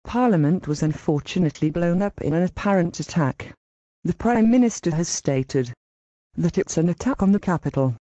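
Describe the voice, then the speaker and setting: A woman's voice: fairly low pitch, expressive, moderate pace, dark tone, no room sound, lightly acted. A woman playing a sober television newsreader delivering scripted breaking news. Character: sober TV newsreader reporting an attack. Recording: slightly dull, dry recording.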